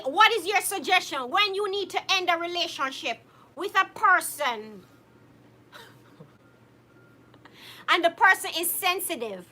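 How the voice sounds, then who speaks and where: Lady cohosting a live chat, super high voice, laughs a lot, reads out viewer comments. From Jamaica. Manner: laughs often, animated, addresses viewers by name. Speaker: co-host reading viewer comments. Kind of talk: casual live-stream chat.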